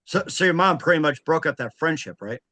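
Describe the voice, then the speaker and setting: A male interview host with a bright, punchy voice in a dry, close space. His questioning is casual and informal, in the loose style of a chat show. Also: a little high, expressive, brisk, no room sound.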